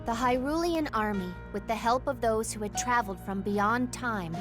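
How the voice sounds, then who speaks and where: A woman voicing a solemn, measured chronicler who narrates a video game legend. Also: fairly high pitch, music in the background, close to an everyday voice. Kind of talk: scripted dramatic storytelling narration.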